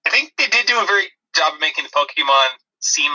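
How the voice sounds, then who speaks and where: A man with a strikingly bright, sharp voice that sits a little high. He talks casually as a podcast co-host reviewing movies, slipping in dry, wry remarks. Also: animated, fast talker, husky, low-quality recording.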